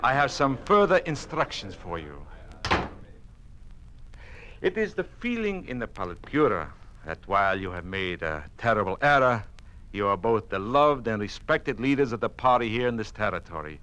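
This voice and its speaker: An actor playing a smoothly menacing Soviet party envoy in an old radio drama, formal, condescending and commanding. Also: male voice, on the low side, sing-song, normal speed, a bit bright, a little hiss or hum. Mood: stern.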